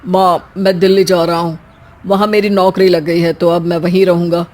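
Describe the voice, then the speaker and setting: Woman playing young village guy, lowered voice, earnest, eager, cartoon. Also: flat delivery, normal speed, a little mellow, close to an everyday voice. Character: young village man, son who moves to the city.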